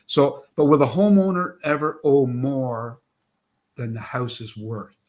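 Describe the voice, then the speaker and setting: A man's voice: medium pitch, animated, a little slow, a little mellow. A man in his sixties hosting an interview over a video call, friendly and chatty with joking asides. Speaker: show host. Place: home office with framed certificates, indoors.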